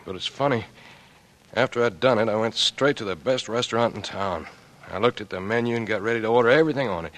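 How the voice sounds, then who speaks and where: An actor playing a guilt-ridden, weary young man turned burglar, grave and halting, in 1940s radio drama style. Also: on the low side, a bit bright, natural voice. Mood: haunted, bitter.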